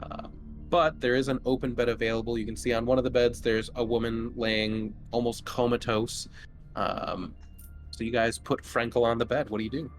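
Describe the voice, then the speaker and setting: A man's voice: medium pitch, flat delivery, normal speed, faint music behind. A man narrating a tabletop horror game as game master, conversational and descriptive. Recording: noticeable background noise.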